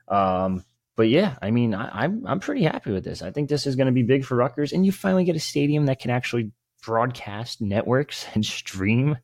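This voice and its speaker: A man's voice: medium pitch, very animated, brisk, a little mellow, no room sound, studio quality. A man co-hosting a sports podcast, talking in a rambling, informal and opinionated way. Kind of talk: casual sports chat.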